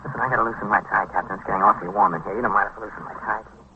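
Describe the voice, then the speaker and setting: An old, muffled radio recording with some noise carries a sick young male addict. He talks quickly in halting, repetitive bursts, agitated and confessional, in the style of a vintage crime drama. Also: on the low side, fairly even intonation, vocal fry. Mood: sick, restless. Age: young adult.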